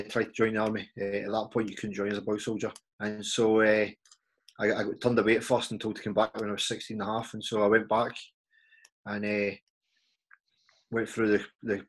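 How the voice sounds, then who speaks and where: A man in his forties with a Glaswegian Scottish accent, a podcast guest talking casually and haltingly, repeating words and filling pauses. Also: on the low side. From Scotland. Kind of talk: casual interview chat.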